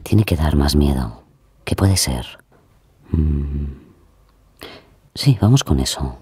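A man's voice: extremely low pitch, animated, takes it slowly, slightly rough, dry recording, exaggerated voice. A man playing a brooding, teasing host of an audio crime story, speaking in suspenseful, intimate narration over sound effects. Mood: ominous, musing. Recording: loud sound effects behind the voice.

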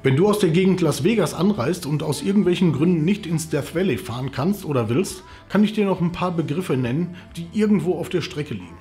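Higher-pitched man narrating travel tips. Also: expressive, fairly quick, hardly any pauses, dark tone. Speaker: travel channel host. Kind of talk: scripted travel narration to camera. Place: indoors, living room. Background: background music.

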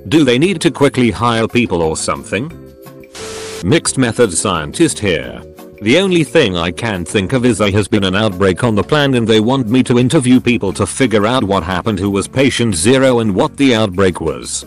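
A man's voice: lowish voice, expressive, normal speed, soft background music. A man narrating, reading out online forum comments from a script.

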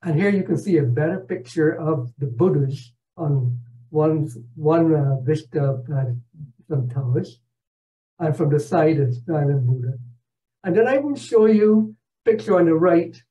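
An older man from Barbados presents a slideshow with a fairly high, soft-edged mellow voice. He explains things in a measured, halting way. The sound is a slightly dull video-call recording from an indoor room. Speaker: presenter, retired professor. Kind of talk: narrated travel slideshow talk.

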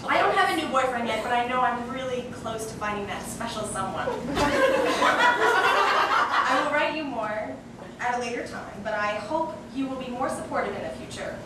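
A young woman performing a comedic stage reading as a bitter, boastful, oversharing jilted woman, sassy and matter-of-fact with emphatic pauses. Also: on the high side, recorded from a distance, close to an everyday voice. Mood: huffy, matter-of-fact. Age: young adult. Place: small bar or cafe stage with audience. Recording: echoey noisy room, heard from afar.

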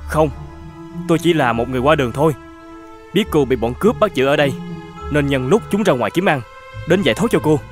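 A man's voice: fairly high pitch, expressive, fast talker, long pauses, faint music behind, natural voice. A man voicing a gallant young rescuer in a fairy-tale cartoon, speaking in an earnest, reassuring way.

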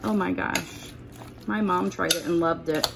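A woman's voice: on the low side, steady tone, measured pace, gravelly, background noise. A woman talking informally and thinking aloud as she hosts a casual meal-prep demonstration at home. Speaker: host of a keto cooking channel. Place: indoors at home, kitchen work surface.

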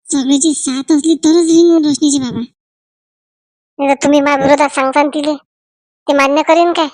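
Woman as bossy cartoon village mother, high voice, scolding, insistent, dry close sound. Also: fairly even intonation, normal speed, a little mellow, dry recording, close to an everyday voice. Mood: insistent, persuading. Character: bossy, nagging village mother arranging her daughter's wedding. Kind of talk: Marathi family comedy cartoon dialogue.

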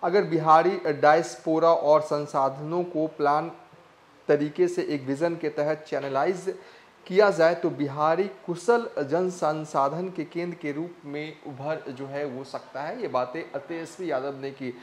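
A young man presenting a news report to camera with a lapel mic in a small studio, pausing often on "uh" fillers. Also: a little high, steady tone. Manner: halting, many "uh" fillers. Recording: roomy echo.

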